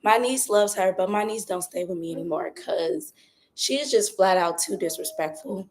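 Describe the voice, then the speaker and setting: A young woman chats casually on a live stream, her voice raspy and hoarse from a cold. She keeps it soft and doesn't push for volume. She is recording on her phone outdoors by her patio. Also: mid-range voice, normal speed, a little hiss or hum. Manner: talks softly, holding back her voice. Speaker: vlogger doing a live stream. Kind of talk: casual chatty live update.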